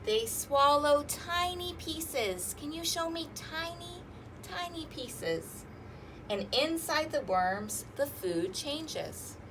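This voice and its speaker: A woman's voice: sits high, expressive, slow pace, a bit bright, a little hiss or hum, low-quality recording. A woman hosting an interactive storytime, reading aloud to young children with singsong emphasis and inviting them to join in. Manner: asks listeners questions and invites them to join in.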